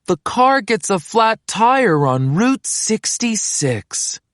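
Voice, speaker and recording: Female storybook reader, unhurried delivery with lively pitch swings, recorded dry and close. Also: no room sound. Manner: expressive, deliberate read-aloud delivery. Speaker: picture book reader. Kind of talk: scripted children's story read-aloud.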